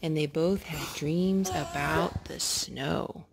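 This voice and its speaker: A woman reading a picture book aloud to a young child, gently asking him questions between pages. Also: deep voice, slow pace, vocal fry. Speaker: storyteller.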